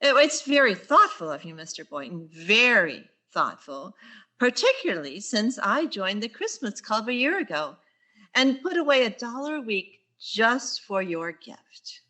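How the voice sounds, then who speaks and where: Woman playing a bright, wry, sarcastic schoolteacher. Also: on the high side, very animated, unhurried, full character voice. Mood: sweetly sarcastic. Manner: dry, sarcastic asides. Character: wry, quick-witted female high school English teacher. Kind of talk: 1940s radio sitcom acting.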